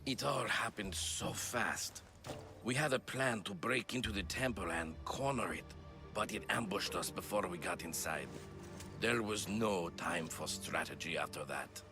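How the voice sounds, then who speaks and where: A man's voice: sits low, a little slow, over music. A man playing a grim, philosophizing mercenary spearman in a video game, solemn and brooding. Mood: grave. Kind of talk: dramatic video game acting.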